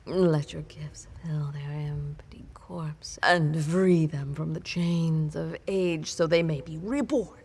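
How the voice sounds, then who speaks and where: A woman playing a commanding, sinister vampire mistress in an audio roleplay, breathy and often whispering, theatrical and imperious with a darkly possessive tenderness. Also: low voice, slow, hardly any pauses, a little mellow, heavily acted. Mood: solemn invocation.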